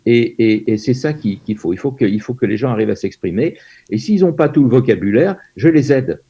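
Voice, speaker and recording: A man's voice: medium pitch, expressive, brisk, warm tone, slightly rough, dull audio. An older man in his seventies from France, a Yiddish teacher being interviewed over a video call, hesitant with many fillers and restarts.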